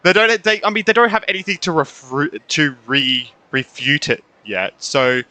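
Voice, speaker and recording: A man giving casual gameplay commentary, halting and repeating words, with some swearing. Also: fairly high pitch, bright, forceful tone. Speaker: gamer reacting to a story quest.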